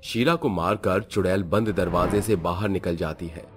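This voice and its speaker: Male horror-story narrator: low voice, scripted storytelling, faint music bed. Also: moderate pace.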